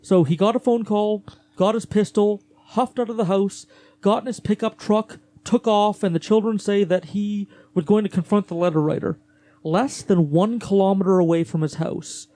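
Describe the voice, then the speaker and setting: Higher-pitched man with a mellow voice. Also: steady tone, normal speed. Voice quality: voice gets gravelly later in the day. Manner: conversational, with fillers and restarts. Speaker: podcast host narrating a case. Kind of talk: casual true-crime storytelling.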